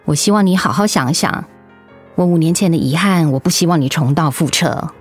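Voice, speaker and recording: An actress playing a caring, gently earnest cancer survivor advising a colleague in a radio drama. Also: female voice, low voice, brisk, husky, faint music behind. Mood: gentle, encouraging. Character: caring older female colleague who survived breast cancer.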